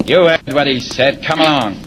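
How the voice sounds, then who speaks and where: An actor playing a tough, obedient henchman, gruffly colloquial, in 1940s radio serial style. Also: medium pitch, moderate pace, natural voice. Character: tough, obedient male henchman of a crime boss. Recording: slightly noisy old radio recording.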